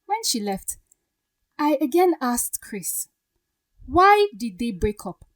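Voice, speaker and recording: A woman's voice: sits high, very animated, slow pace, exaggerated voice. A woman playing a defiant, wounded young bride-to-be, speaking firmly and pointedly in a radio drama. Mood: hurt, bitter. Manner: firm, pointed.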